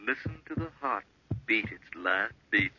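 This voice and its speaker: Evil whispering-conscience figure, low, coaxing, clipped commands, 1940s radio horror, heavy noise. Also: male voice, lively intonation, unhurried. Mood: coaxing, menacing. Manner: insinuating, commanding, clipped repeated phrases. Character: sinister male inner voice coaxing a killer on. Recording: muffled, very noisy old radio recording.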